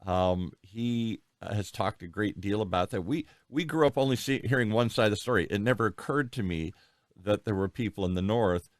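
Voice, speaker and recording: A man's voice: fairly low pitch, moderate pace, no room sound, studio quality. An American man hosting an interview, asking long, rambling questions with frequent restarts. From United States. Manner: rambling, thinks aloud with many restarts. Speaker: podcast host. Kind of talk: conversational interview.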